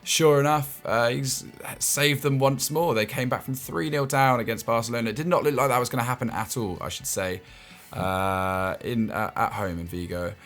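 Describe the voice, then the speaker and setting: A man at a mid pitch breaking down football matches in relaxed conversation. He trips over and repeats his words as he goes, with little chuckles along the way. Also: moderate pace, soft background music. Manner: stammering restarts and chuckling asides. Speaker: football show host. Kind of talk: casual football analysis chat.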